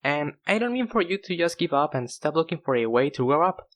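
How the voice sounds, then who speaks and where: A young man with as his language hosts a casual, comedic video. His voice sits on the high side for a man and swings widely in pitch as he pokes fun at himself. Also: a little fast. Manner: self-deprecating, animated. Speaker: video host. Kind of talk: casual scripted comedic narration to viewers.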